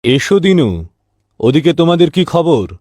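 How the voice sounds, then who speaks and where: Man playing a stern father, very animated delivery. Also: on the high side, moderate pace, dry recording, clean studio sound. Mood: concerned, authoritative. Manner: grave, emphatic, authoritative. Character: stern, honest, weary middle-aged father and shopkeeper. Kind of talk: cartoon voice acting.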